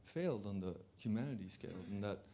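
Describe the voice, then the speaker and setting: A man's voice: fairly low pitch, lively intonation, quick pace, gravelly, dark tone. A man from Turkey speaking from the floor at a conference, hesitant, with many fillers and restarts. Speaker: audience member.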